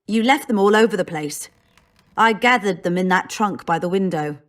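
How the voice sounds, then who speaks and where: A woman plays a female soldier in a fantasy video game. She speaks at a medium pitch in a dry, matter-of-fact way, like a comrade in arms. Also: expressive, normal speed, close to an everyday voice. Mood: wry.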